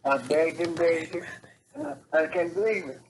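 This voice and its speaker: A middle-aged man on a phone call, heard through a phone speaker, halting and pleading and repeating himself. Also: on the high side, slight background noise. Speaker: prank call victim on the phone. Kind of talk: phone conversation.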